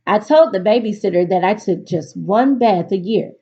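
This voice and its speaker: A woman teacher reading aloud to students in an expressive, instructive storytelling delivery. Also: medium pitch, moderate pace, slightly rough. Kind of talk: picture-book read-aloud for a virtual class. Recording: dull, lo-fi recording.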